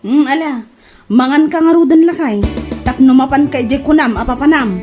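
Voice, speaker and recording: A woman's voice: high-pitched, normal speed, over music, natural voice. A woman with an Ilocano accent playing a nagging but practical village wife in a comedy drama. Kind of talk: comedy radio-style drama acting.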